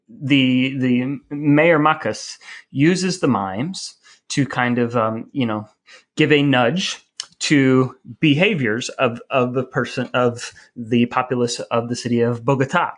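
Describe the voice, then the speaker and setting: Male co-host, thirties to forties, mid-range voice, wry and rambling, casual conversational storytelling. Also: mid-range voice. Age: 30s or 40s. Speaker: podcast co-host. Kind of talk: casual bantering chat.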